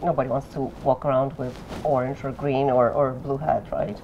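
A woman's voice: very deep voice, little pitch movement, normal speed, creaky, muffled recording, slight background noise. A middle-aged woman from Poland, a guest explaining permanent makeup in an interview at a conference, with a friendly, agreeable manner. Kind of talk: interview answers. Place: conference room, indoors.

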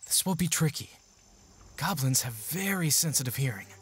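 An actor voicing a serious, curt young mercenary in a fantasy audio drama. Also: male voice, mid-range voice, lively intonation, moderate pace, a bit bright, slight background noise, natural voice. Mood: focused, hushed. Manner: curt, earnest, tactical. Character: serious, gruff-mannered young male mercenary in training. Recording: faint music behind the voice.

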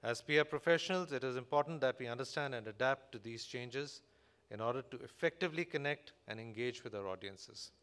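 A man with an Indian accent delivering a formal, measured, scripted keynote speech at a conference as a corporate executive. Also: medium pitch, fairly bright tone, clean tone. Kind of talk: prepared conference presentation read from a script. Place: large hotel conference hall, on stage at a podium.

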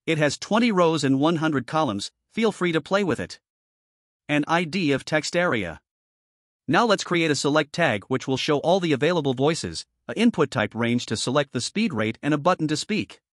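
Man, tutorial presenter, fairly high voice, scripted narration, dry close recording. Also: expressive, moderate pace, no echo.

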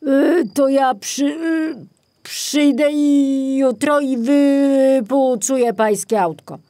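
A woman voicing a big, grumpy, hungry, slow-witted male bear who stammers and halts, in a children's story. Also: sits high, warm tone, no room sound, studio quality, pitched above the performer's own voice. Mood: uneasy, confused. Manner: halting, stammering.

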